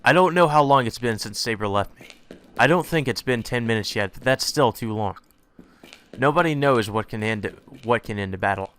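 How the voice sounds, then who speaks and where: A man's voice: mid-range voice, lively intonation, moderate pace, fairly bright tone, smooth, close to an everyday voice. A man voicing an earnest young male hero, anxious and determined, narrating his own story in a visual novel.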